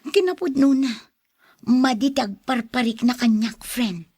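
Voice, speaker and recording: A woman's voice: on the high side, animated, a little slow, a little mellow, breathy edge, no room sound, studio quality. A woman with an Ilocano accent playing a coy young woman in a radio drama, often drawing out her interjections. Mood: confiding. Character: Claire, coy young woman being courted. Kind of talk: Filipino radio drama acting.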